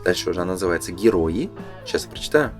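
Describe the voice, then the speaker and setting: Man, very low voice, casual presenter, hesitant thinking-aloud commentary, clear music underneath. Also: expressive, rapid-fire. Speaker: presenter reading through a game booklet. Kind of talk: casual unscripted explanatory commentary.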